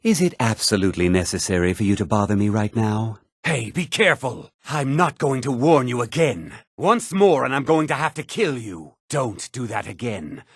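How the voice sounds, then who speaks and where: Haughty, husky-voiced fantasy townsman. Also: mid-range voice, expressive, normal speed, few pauses, no room sound, clean studio sound. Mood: annoyed, threatening. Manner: condescending, curt, sneering. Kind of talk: fantasy video game character lines.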